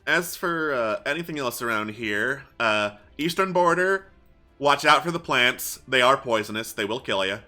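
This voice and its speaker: Man playing a bright-voiced, weary tower guard. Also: mid-range voice, expressive, moderate pace, faint music behind. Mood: casual warning. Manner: casual, grumbling, muddles his directions. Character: weary, easygoing male tower guard and local guide.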